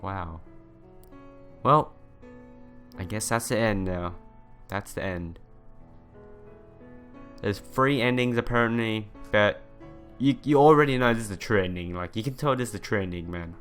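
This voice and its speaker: A man's voice: fairly low pitch, measured pace, pauses often, a bit bright. A young man playing a visual novel for viewers, reading aloud and commenting in an informal, earnest way. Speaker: let's player. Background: game music.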